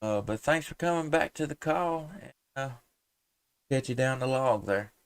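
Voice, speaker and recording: A man's voice: mid-range voice, lively intonation, normal speed, vocal fry, smooth, no room sound. A man working as an amateur radio operator on a live stream, folksy and courteous, using radio jargon and spelled-out call signs. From southern Middle Tennessee, USA. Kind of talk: ham radio calling and casual contacts, live streamed.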